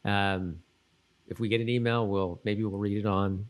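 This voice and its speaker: A man with a fairly low voice chats casually as a podcast co-host. He rambles loosely and often breaks off to restart a thought. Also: fairly even intonation, moderate pace. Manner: rambling, loose, self-interrupting. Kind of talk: casual chat reviewing a TV episode.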